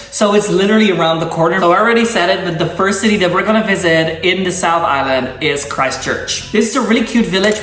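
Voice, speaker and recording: A man's voice: sits high, a bit bright. A young man with a Dutch accent, a native Dutch speaker, giving casual travel tips in an enthusiastic, gushing way, full of fillers and restarts. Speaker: travel vlogger. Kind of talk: casual travel-guide talk. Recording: roomy sound, mic at a distance.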